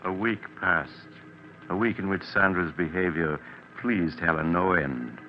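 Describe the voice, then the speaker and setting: Deep-voiced, kindly family doctor. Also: male voice, faint music behind. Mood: grave, measured. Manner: measured, reassuring, avuncular. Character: calm, kindly male family doctor, narrating. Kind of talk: 1940s radio acting and narration. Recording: muffled, hissy 1940s radio recording.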